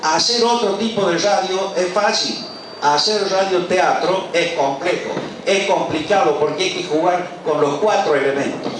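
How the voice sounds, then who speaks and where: A man's voice: sits high, moderate pace. A middle-aged or older Argentine man, an author and professor, giving an informal public speech at a book presentation, with an emphatic manner and rhetorical questions. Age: middle-aged or older, over 50. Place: large colonial patio at a public event. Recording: echoey, distant, noisy live event recording.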